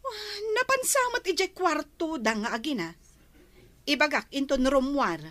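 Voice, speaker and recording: Idle, vain young wife, very high voice, Ilocano accent, radio drama. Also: female voice, very animated, measured pace, a bit bright, full character voice. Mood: breezy, whiny.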